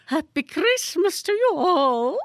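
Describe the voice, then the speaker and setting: A woman's voice: very high-pitched, sing-song, slow pace, no echo, clean studio sound, voice pushed up, full character voice. Woman putting on a grand, condescending old lady. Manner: gracious, patronizing, grand. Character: stout elderly haughty condescending lady.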